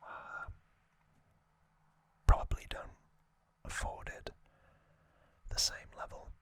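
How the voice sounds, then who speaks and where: Slow, crisp-edged whispering ASMR host. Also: no echo. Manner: hushed whisper with slow, halting delivery in short phrases. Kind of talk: whispered ASMR trivia recap.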